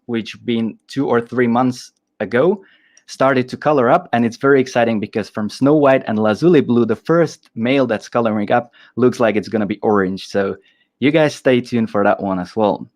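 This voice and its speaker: A man hosting a casual livestream, enthusiastic and restarting his sentences often. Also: medium pitch. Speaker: host.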